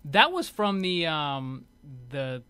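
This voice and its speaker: Bright-voiced man doing casual gaming commentary. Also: fairly high pitch, big pitch swings, slow, smooth. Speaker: gaming video host. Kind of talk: casual commentary over game footage.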